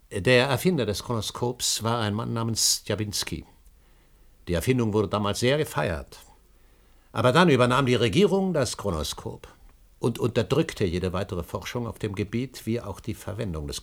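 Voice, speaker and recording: An actor in a German radio play, playing a driven, imperious middle-aged scholar who suspects conspiracies and speaks in emphatic, deliberate phrases. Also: male voice, on the low side, lively intonation, creaky. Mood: insistent. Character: driven, conspiracy-minded older male history scholar, insistent and imperious.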